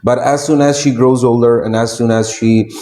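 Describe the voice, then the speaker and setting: A man's voice: mid-range voice, fairly even intonation, moderate pace, warm tone. Hesitant Pakistani man in an echoey room. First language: Urdu. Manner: hesitant, repeats words, many fillers. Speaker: guest speaker. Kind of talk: interview answers.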